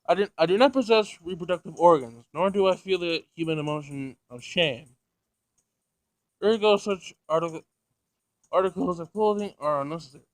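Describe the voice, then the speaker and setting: A detached robot girl from a visual novel, read by a man in a slightly high voice, literal-minded and condescending. The phrasing is formal and emotionless, recorded dry. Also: moderate pace, no room sound, natural voice, poor audio. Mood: matter-of-fact.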